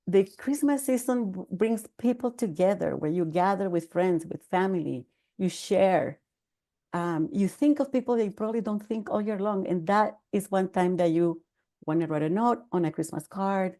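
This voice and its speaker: Older Salvadoran woman with a mellow voice. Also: lowish voice. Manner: measured, earnest storytelling with fillers. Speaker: podcast guest, author. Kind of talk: conversational interview.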